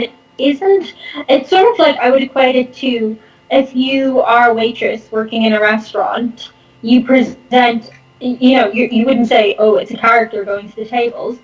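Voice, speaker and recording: A woman with a somewhat high-pitched voice talks off the cuff as a guest in a casual conversation. She rambles in a chatty way and pokes fun at herself. The recording sounds a little dull and lo-fi. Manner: chatty, rambling, self-deprecating humour. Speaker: interview guest, performer and online content creator. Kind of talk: unscripted casual chat.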